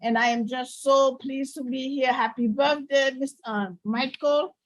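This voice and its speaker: A woman from Haiti speaking in an excited, informal way as a participant in an online meeting. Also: high voice, moderate pace, no room sound.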